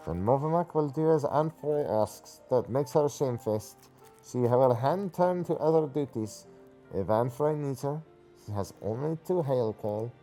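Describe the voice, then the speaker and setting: A man's voice: medium pitch, animated, normal speed, mellow, voice pushed up, close to an everyday voice, low-quality recording. Man as loyal female game creature, muted timbre, quaint third-person speech, faint music behind. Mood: ashamed, obedient. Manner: speaks of herself in third person, quaint phrasing. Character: devoted, stubborn female moblin helper creature. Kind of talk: video game dialogue read aloud in character.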